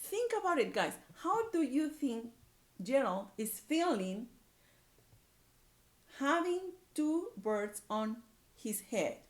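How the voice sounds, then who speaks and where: Slow, animated woman teaching young readers. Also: high-pitched. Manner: repeats words and phrases, coaxing listeners with questions. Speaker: early-grade reading teacher. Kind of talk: read-aloud lesson for young children.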